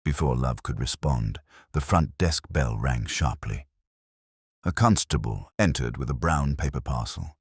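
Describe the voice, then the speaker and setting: Male narrator for scripted mystery fiction: very low, lively intonation, dry close sound. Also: a little slow, slightly rough, no room sound. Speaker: audiobook narrator.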